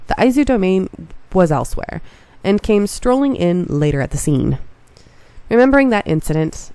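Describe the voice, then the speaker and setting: A congested woman reading a visual novel aloud at home, casual and quick to laugh at her slips. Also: lowish voice, darkish tone, no echo. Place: home room with a fan.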